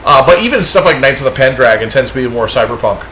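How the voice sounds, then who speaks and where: A man's voice: medium pitch, animated, quick pace, fairly bright tone, a little hiss or hum. Rambling, upbeat Canadian man, echoey room. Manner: rambling and upbeat, with many fillers. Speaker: comic book reviewer. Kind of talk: casual show-and-tell chat.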